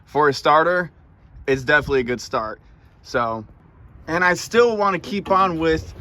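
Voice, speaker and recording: Bright-voiced man rambling with a slight stutter. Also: a little high. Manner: stuttering, repeats words; rambling, informal. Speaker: car audio vlogger. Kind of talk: casual chat to camera. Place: inside a car. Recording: noisy vehicle interior.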